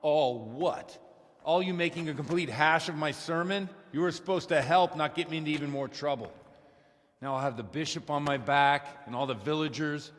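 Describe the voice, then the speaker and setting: An actor playing an exasperated, blunt medieval village priest who scolds gruffly in a video game. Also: male voice, on the high side, normal speed, natural voice. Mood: angry, weary. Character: angry, exasperated medieval village priest, foul-mouthed man. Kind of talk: dramatic video game acting. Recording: long hall reverb.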